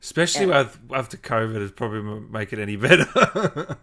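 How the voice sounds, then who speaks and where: A man's voice: mid-range voice, animated, fairly quick, bright, forceful tone. A man hosting a casual interview, keeping it going with short encouraging comments. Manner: brief encouraging interjections and laughs. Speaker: podcast host.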